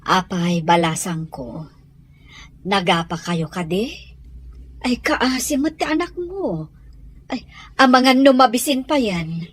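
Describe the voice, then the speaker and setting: A woman playing a kindly, dutiful grown daughter in a radio-style drama, gentle and respectful. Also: mid-range voice, expressive, slow pace, long pauses, slightly rough. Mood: concerned, kind. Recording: faint background noise.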